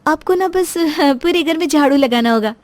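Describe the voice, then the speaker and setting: Crafty young in-law for a moral story, acted by a woman: high, falsely sugary, easily irritated. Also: expressive, moderate pace, dry recording. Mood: mock sweet. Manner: cunning, mock-sweet, sharp.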